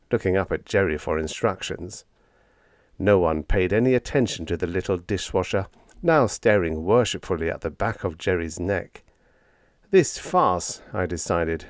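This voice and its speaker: Deep voiced guy narrating an audiobook, slow but really expressive, dry close sound. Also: no echo. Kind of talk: dramatic scripted story narration.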